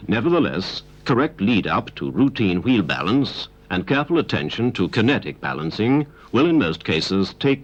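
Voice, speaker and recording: A British man reading a scripted instructional narration in a measured, precise way, from a 1970s training film. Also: lowish voice. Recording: dull 1970s videocassette transfer. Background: 1970s training film soundtrack.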